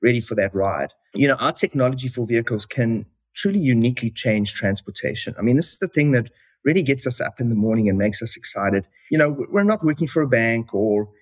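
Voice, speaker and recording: A man's voice: medium pitch, lively intonation, fairly quick, dry recording. Guest: South African man, reflective, filler-heavy answers, dead-dry recording. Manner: reflective, with many fillers like "you know". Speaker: company chief executive, guest. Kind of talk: interview answers.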